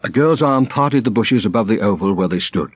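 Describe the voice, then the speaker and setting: A man reading a literary story aloud as an audiobook narrator, in a theatrical, expressive manner. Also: fairly low pitch, brisk, no echo. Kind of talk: dramatic literary narration with character voices.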